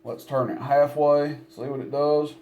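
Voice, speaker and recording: A man's voice: fairly high pitch, steady tone, a little slow, darkish tone, a little hiss or hum. A man giving a casual hands-on equipment demonstration in a plainspoken, folksy, matter-of-fact way. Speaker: CB radio seller demonstrating equipment.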